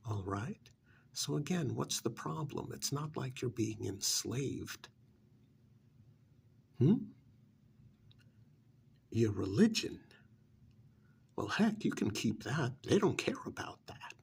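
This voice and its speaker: A man playing a smug, pampered vampire's familiar recruiter in an ASMR role-play, cajoling and dismissive, with a gravelly voice that often drops to a breathy near-whisper. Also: mid-range voice, big pitch swings, a little mellow, full character voice. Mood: dismissive coaxing. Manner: conversational, cajoling, with rhetorical questions and pauses.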